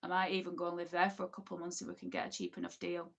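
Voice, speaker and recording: A woman's voice: lowish voice, big pitch swings, rapid-fire. A woman with an Oldham accent, hosting a casual livestream and rambling chattily while reading viewers' comments. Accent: Oldham, northern English. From England. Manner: chatty, rambling, many ums and asides. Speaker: livestream host.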